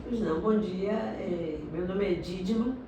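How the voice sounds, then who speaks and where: A middle-aged woman from Salvador, telling her life story in a slow, reflective interview. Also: mid-range voice, far from the mic. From Salvador, Bahia, Brazil. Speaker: interviewee, doctorate holder. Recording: echoey room, recorded from afar, noisy.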